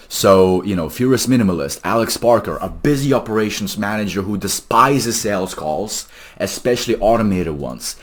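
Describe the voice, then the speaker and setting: Male vlogger, low-pitched, explaining his work informally with emphatic, salesy delivery. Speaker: AI phone agent developer presenting a video. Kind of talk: casual explainer vlog.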